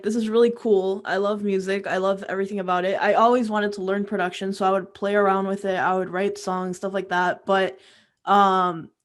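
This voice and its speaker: A young woman from Peru and Florida chatting informally as an interview guest, often saying "like" as she tells her story. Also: mid-range voice. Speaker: interview guest, music career coach.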